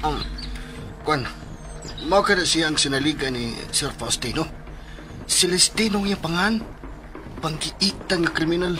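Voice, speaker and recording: A man's voice: a little high, unhurried, pauses often, noisy background, lightly acted. A man acting in a radio drama as a wary, nervous young villager.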